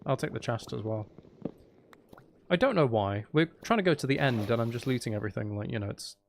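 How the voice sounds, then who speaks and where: A man's voice: medium pitch, expressive, a little fast, gravelly, a little hiss or hum. A man hosting a casual gaming livestream, chatting in a playful, teasing, rambling way and sounding a little tired. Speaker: livestream host.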